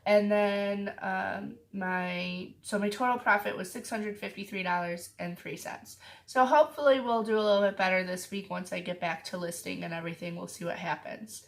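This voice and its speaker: A woman who is getting over a cold, with a raspy voice, chatting casually to her viewers about her weekly sales and filling her talk with frequent ums. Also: medium pitch, steady tone, normal speed, hardly any pauses. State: sick, with a cough. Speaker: reseller vlogger. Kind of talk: casual chat to camera.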